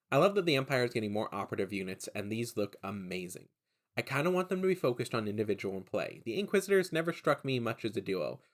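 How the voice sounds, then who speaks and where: Male host, stuffy gravelly voice, lowish, chatty opinionated rambler. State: stuffy from a cold. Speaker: channel host. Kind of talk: casual hobby commentary.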